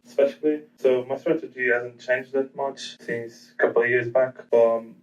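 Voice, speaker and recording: Male guest from Portugal, flat hesitant delivery, muffled distant mic. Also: mid-range voice, normal speed, faint music behind. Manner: hesitant, many ums and restarts. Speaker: guest trader. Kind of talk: casual interview answers.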